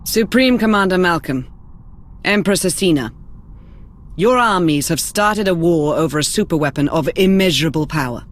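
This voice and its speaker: An actor voicing an earnest, formal military aide in a video game. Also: male voice, fairly high pitch, lively intonation, normal speed, fairly bright tone. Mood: grave. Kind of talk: video game cutscene acting.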